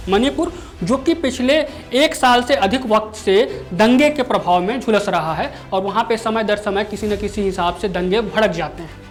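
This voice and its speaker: A woman news anchor explaining a story in long, partly ad-libbed sentences that circle back on phrases. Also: fairly high pitch. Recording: music bed under the voice. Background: background music.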